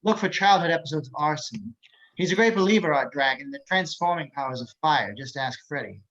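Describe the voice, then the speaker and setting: A cultured, menacing male psychiatrist killer, acted by a man in a drama read over a video call. His voice sits a little high, and he taunts in measured phrases with theatrical pauses. The sound is dull and lo-fi. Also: animated, a bit bright. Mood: coolly superior.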